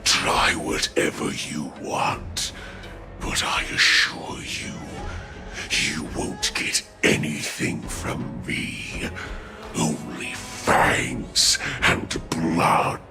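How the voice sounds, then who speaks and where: A man voicing a defiant, mocking wolfman warrior in a video game, with a gravelly, menacing growl. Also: a bass voice, fairly even intonation, very slow, exaggerated voice. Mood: defiant, taunting. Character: defiant savage wolfman warrior, captured and taunting. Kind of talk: dramatic video game voice acting. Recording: loud game music underneath.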